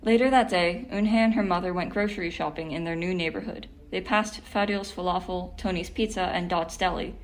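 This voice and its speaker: A woman reads a children's picture book aloud, calm and measured. Her voice sits on the low side for a woman. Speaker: volunteer reader.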